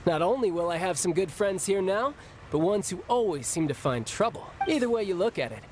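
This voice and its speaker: A man's voice: high-pitched, animated, fairly quick, a little hiss or hum. A man playing a cocky young bank enforcer in a video game, breezy, teasing and confident. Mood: cheerful. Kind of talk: video game character acting. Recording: game music underneath.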